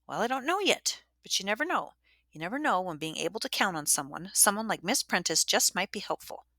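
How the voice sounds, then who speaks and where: A woman voicing a pompous, scheming schoolgirl in a shrill, emphatic storybook voice. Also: lively intonation, brisk, sharp tone, no room sound, studio quality. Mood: pompous, eager.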